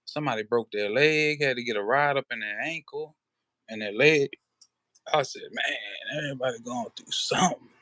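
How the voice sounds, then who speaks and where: A man's voice: medium pitch, normal speed, pushed and bright, dry recording. Laid-back man chatting on a livestream. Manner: laid-back, slangy, many "you know" fillers. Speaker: livestream host. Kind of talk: casual livestream chat.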